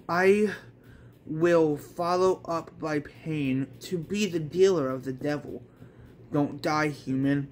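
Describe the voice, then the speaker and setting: A man voicing a tormented, soulless vampire demon bound to hell, in dramatic, drawn-out recitation for a manga story. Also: fairly high pitch, slight background noise. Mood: menacing.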